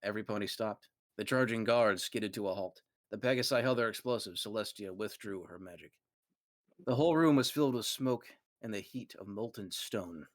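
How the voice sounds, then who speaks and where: A man's voice: fairly low pitch, a little fast, no echo. Man narrating fan fiction, dry close mic. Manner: dramatic storytelling read aloud, occasional stumbles.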